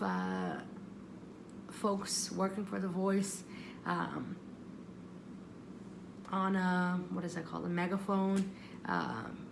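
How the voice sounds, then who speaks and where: A woman's voice: medium pitch, creaky, background noise. A woman giving casual, rambling advice, often interrupting herself and repeating phrases for emphasis. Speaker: vlogger giving audition advice.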